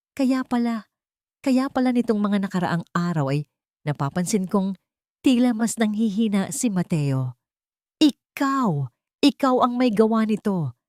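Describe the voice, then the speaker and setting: A woman's voice: mid-range voice, darkish tone, dry recording, clean studio sound, close to an everyday voice. Woman acting a theatrical, accusing scheming wife. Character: scheming wife feigning horror, accusing a maid.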